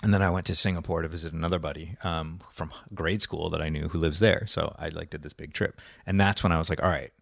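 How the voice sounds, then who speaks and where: A man's voice: very deep voice, fairly even intonation, fast talker, creaky, few pauses, fairly bright tone, no echo, studio quality. A man hosting a podcast, telling his backstory in a long-winded, laid-back way. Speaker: podcast host and photographer. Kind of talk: casual conversation.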